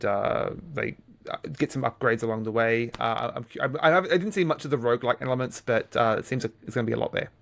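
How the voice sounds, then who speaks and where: A man's voice: mid-range voice, vocal fry, a bit bright. A man co-hosting a video game podcast in casual discussion, often repeating and correcting his words as he goes.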